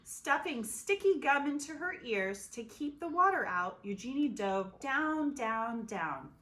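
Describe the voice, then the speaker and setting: A woman reading a children's picture book aloud expressively, with dramatic pauses and emphasis. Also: high voice, very animated, fairly bright tone. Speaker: storybook reader.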